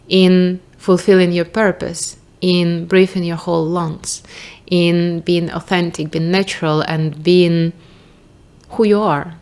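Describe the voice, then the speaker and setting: A woman's voice: mid-range voice, darkish tone. A young woman with a Ukrainian accent, talking casually to camera as a vlogger, thinking aloud in a rambling way with restarts and the odd swear. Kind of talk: casual reflective monologue.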